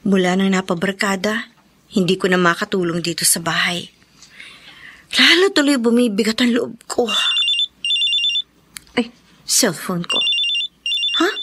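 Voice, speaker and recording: A woman's voice: medium pitch, measured pace, long pauses, fairly bright tone. A woman playing an anguished, caring young elder sister in a Filipino radio drama, pleading and sighing. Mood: worried. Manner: tearful, pleading, sighing, breathy whispers in distress.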